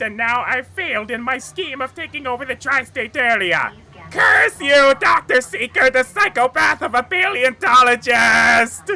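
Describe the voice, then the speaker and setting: A woman voicing a bumbling male cartoon villain, a frantic mad scientist with a sharp, strained voice who rambles and exclaims theatrically. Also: high-pitched, full character voice. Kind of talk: cartoon fan tribute monologue.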